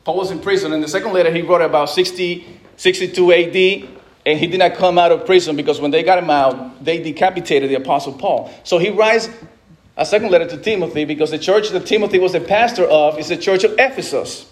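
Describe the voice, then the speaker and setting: High-voiced preaching man from the Dominican Republic. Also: brisk. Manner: conversational preaching with frequent restarts and asides. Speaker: pastor. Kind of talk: sermon.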